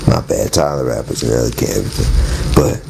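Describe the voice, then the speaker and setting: A man's voice: very deep voice, brisk, creaky, noisy background. A man giving a casual, rambling spoken monologue in slang, often repeating words and restarting phrases. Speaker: narrator and storyteller.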